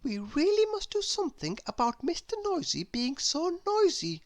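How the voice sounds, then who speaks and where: A storybook reader voicing an exasperated, scheming woman baker in a hushed, emphatic manner. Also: sits high, huge pitch swings, moderate pace, dry recording, voice pushed up, exaggerated voice.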